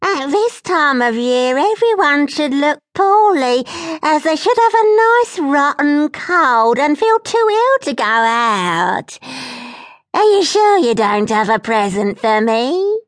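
A woman voicing a spiteful witch who talks slowly and sneers indignantly. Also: high-pitched, big pitch swings, no echo, voice pushed up, heavily acted. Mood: sneering. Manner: slow, drawn-out, indignant and sneering.

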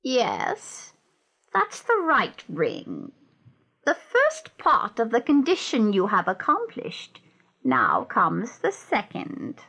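Woman playing an imperious old witch queen, slow and swooping. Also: high voice, a bit bright, full character voice. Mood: commanding, then gloating, menacing. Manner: slow, measured, menacing pronouncements.

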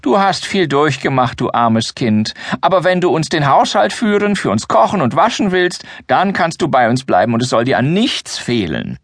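A man voicing a whining, sanctimonious old male dwarf leader with a raised, drawn-out voice. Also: very animated, no room sound, studio quality. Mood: syrupy. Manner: raised pitch, drawn-out syrupy pleading.

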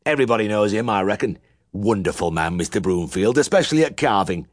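A man's voice: lowish voice, animated, fast, no echo, clean studio sound, lightly acted. A man voicing a smug little old male farmer with a nasal West Riding Yorkshire twang, forever praising another vet. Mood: needling boastful.

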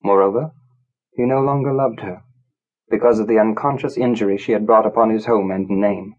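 Slightly husky, low-voiced male narrator. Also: fairly even intonation, darkish tone, muffled recording. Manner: measured, grave, dramatic storytelling. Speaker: audiobook narrator. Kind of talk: scripted literary narration.

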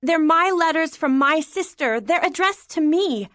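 A defiant eleven-year-old girl, played by a woman narrator, pitched high and full of indignation. She protests in emphatic bursts, as in a storybook reading, with a close, dry sound. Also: sing-song, slow pace, a bit bright, no echo, full character voice. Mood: angry, indignant.

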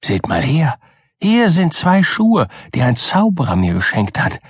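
A tiny, nimble magical little man from a children's storybook, acted out by a male narrator. The voice is raised high and swings brightly up and down. He sounds cheerful, friendly and chirpy, in a dry close recording. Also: huge pitch swings, measured pace, breathy edge, no room sound, exaggerated voice. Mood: proud, chatty. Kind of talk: storybook character voice.

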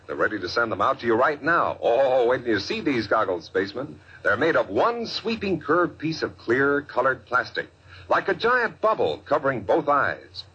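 A man's voice: mid-range voice, pushed and bright, breathy edge. Male 1950s commercial announcer for kids: swooping, enthusiastic delivery, emphatic, muffled old radio sound. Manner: emphatic, addresses young listeners. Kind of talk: 1950s children's radio advertisement, enthusiastic pitch. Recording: dull vintage radio recording.

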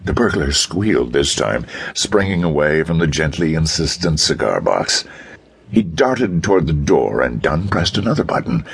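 Very deep, husky male audiobook narrator. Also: sing-song, moderate pace. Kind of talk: dramatic scripted pulp fiction narration.

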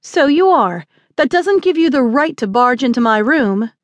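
A headstrong young schoolmarm played by a woman narrator in an audiobook western. Her voice is high and haughty, defiant and indignant in tone. It is recorded dry and close. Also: animated, moderate pace, no room sound. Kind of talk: acted audiobook dialogue.

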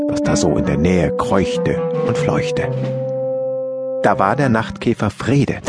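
Animated male children's storyteller over music. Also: on the low side. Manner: playful, expressive storyteller with musing asides. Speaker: audiobook narrator. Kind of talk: scripted narration of a children's story.

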